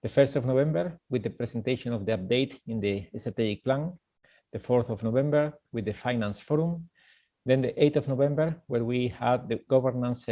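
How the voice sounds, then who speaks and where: Guy running a formal video meeting, lots of uh pauses, kinda dull lo-fi sound. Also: medium pitch, mellow. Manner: measured and formal, with frequent "uh" pauses. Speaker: meeting chair, secretary general. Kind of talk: formal online meeting introduction.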